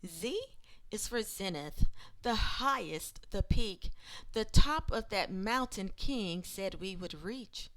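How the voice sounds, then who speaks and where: Woman reading aloud at a slow pace. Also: mid-range voice, lively intonation, fairly bright tone. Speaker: educator reading a children's book aloud. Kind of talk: expressive read-aloud of rhyming children's book.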